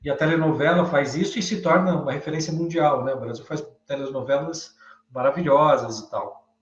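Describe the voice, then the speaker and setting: A Brazilian man giving thoughtful, halting commentary in a class discussion, thinking aloud as he goes. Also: fairly high pitch. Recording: echoey room, dull lo-fi sound.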